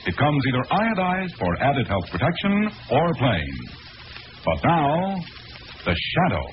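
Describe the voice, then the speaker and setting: A man announcing and pitching a sponsor in an emphatic, declamatory 1940s radio style. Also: huge pitch swings, measured pace. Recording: muffled, noisy old radio recording.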